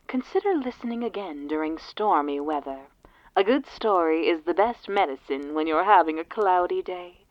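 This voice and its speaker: A woman's voice: fairly low pitch, dry recording, lightly acted. A woman voicing a theatrical, genteel poet who speaks in rhyme to an audience in a scripted audio drama. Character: theatrical rhyming poet bidding listeners a fond farewell. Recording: muffled lo-fi tape sound.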